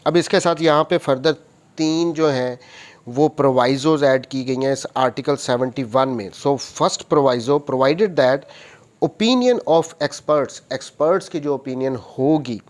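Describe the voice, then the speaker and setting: A man with a Pakistani accent teaches in a methodical, explanatory way. His voice sits at an ordinary male pitch and he speaks slowly. He reads formal clauses, then restates each one in simpler terms. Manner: methodical, repeats and rephrases each point. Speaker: law lecturer. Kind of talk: explanatory lecture, reading statute clauses and explaining them.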